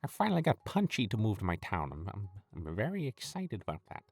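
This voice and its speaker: A man's voice: lowish voice, huge pitch swings, fairly quick, vocal fry, dry recording, soft background music, heavily acted. A man playing a flippant, smug secret schemer in a comic audio roleplay, stammering, dismissive and conspiratorial. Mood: breezy, self-satisfied. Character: flippant, flustered secret-cabal schemer, smug and easily bored.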